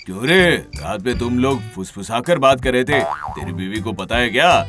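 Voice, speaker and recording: A man voicing a teasing, lively male friend in a cartoon story, mischievous but kind. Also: medium pitch, moderate pace, close to an everyday voice. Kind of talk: animated cartoon dubbing. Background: music.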